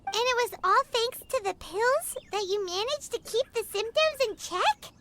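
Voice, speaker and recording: A woman voicing a small, excitable female fairy companion who talks about herself in the third person, in a game cutscene. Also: unhurried, heavy background noise. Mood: puzzled. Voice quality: high and squeaky.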